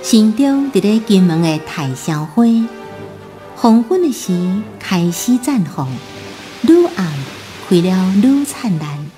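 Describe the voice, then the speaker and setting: A woman's voice: medium pitch, sing-song, slow, mellow, soft background music. A woman with a Taiwanese accent delivering a lyrical, scripted radio drama introduction. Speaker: narrator.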